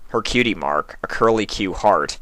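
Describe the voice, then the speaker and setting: A man's voice: lowish voice, expressive, moderate pace, fairly bright tone, no room sound. A man reading scripted fan fiction as a narrator.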